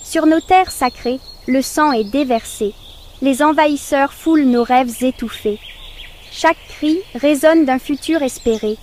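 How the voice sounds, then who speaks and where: Woman, high voice, slow declamatory poem recitation, faint outdoor ambience behind. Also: darkish tone, a little hiss or hum. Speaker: poetry narrator. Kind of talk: solemn scripted poem recitation.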